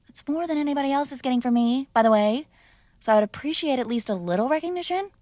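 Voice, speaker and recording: A woman's voice: high-pitched, lively intonation, quick pace, mellow, no room sound, studio quality. A woman playing a spoiled, defiant mob boss's young daughter in a conversational, argumentative ASMR roleplay. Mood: firm, negotiating. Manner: conversational, sighing, coaxing and argumentative. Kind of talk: ASMR girlfriend roleplay, one-sided conversational acting.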